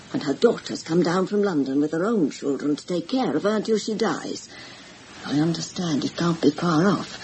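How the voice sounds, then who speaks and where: A woman's voice: fairly low pitch, darkish tone, slight background noise, poor audio. A woman playing a chatty, evasive village housekeeper in a radio drama, homely and matter-of-fact.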